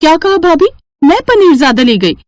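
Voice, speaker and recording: A woman's voice: very high voice, sing-song, a little fast, a little mellow, no echo, studio quality, pitched above the performer's own voice. A woman voicing a young daughter-in-law in a cartoon family story, defensive and whining. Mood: bickering. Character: young daughters-in-law, excuse-making and squabbling housewives. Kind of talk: Hindi moral-story cartoon voice acting.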